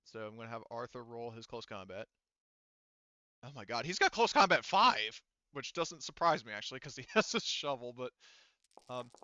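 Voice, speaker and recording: A man's voice: mid-range voice, sharp tone, smooth, no room sound, dull audio. A man acting as game master, casually narrating a tabletop game and explaining rules while thinking aloud.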